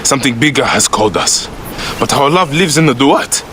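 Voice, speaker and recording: A grave, grieving Egyptian warrior played by a man with a mid-pitched voice. He speaks slowly and solemnly, like a video game assassin making a vow, over a clearly noisy background. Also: animated, a bit bright, lightly acted. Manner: grave, deliberate. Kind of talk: dramatic game cutscene acting.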